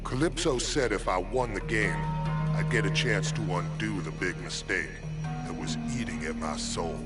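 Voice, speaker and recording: A man acting as a haunted former cop with a lowish voice, locked away and eaten by guilt. He narrates his story in a bitter, intense, dramatic game-cutscene style, under loud music. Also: moderate pace, natural voice. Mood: brooding, remorseful. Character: tormented, guilt-ridden ex-cop locked in an asylum. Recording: loud game score over the voice.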